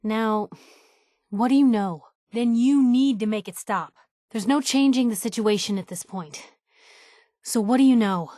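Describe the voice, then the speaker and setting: A woman's voice: a little high, measured pace, warm tone, no room sound, clean studio sound, lightly acted. A woman playing a spirited, sardonic young reporter in a post-apocalyptic video game. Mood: concerned, urgent. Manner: sardonic, teasing, plucky. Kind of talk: video game companion dialogue.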